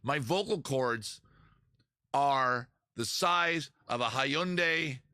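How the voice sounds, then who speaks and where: Self-mocking chatty man, bright voice. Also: mid-range voice, takes it slowly, no room sound, studio quality. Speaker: host, singer-guitarist. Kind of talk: casual live-stream banter between songs. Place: under a small rain tent outdoors. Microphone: microphone on a live-stream setup. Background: guitar.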